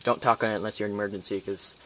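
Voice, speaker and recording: A man's voice: fairly low pitch, fairly even intonation, sharp tone. American man, casual how-to, noisy recording. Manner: informal, halting, thinking aloud. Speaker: video tutorial host. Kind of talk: casual hands-on how-to demonstration. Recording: noisy amateur camera recording with clicks.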